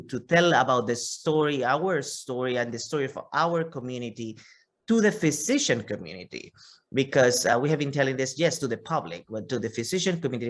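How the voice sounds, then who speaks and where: A man with a Panamanian Spanish accent giving long, conversational interview answers, often repeating words as he goes. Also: mid-range voice. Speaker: interview guest, physician.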